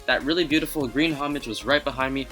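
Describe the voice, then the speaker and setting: A man's voice: mid-range voice, fast, bright, forceful tone, soft background music. A young man whose first language is Chinese, narrating a racing game video in a chatty, self-mocking way. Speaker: gaming YouTuber. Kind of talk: casual game commentary voice-over.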